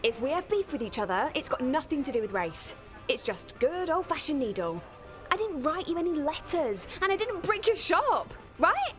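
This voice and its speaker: An actress playing a feisty young English pub barmaid in a radio soap, combative, sarcastic and sharp-tongued. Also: female voice, high-pitched, very animated, normal speed, faint music behind. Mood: indignant, defiant.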